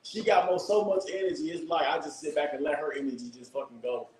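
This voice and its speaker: A man's voice: on the high side, fairly even intonation, fast talker, reverberant, a little hiss or hum, poor audio. Hyped man hosting a livestream. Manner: loud, hyped, profane, keeps addressing viewers. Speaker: livestream host. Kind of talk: casual live-stream chat.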